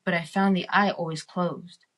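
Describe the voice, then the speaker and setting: A woman voicing a nervous, obsessed murderer from a horror storybook who insists on being sane, with deliberate, dramatic, halting delivery. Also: fairly low pitch, steady tone, a bit bright. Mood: sly, boastful.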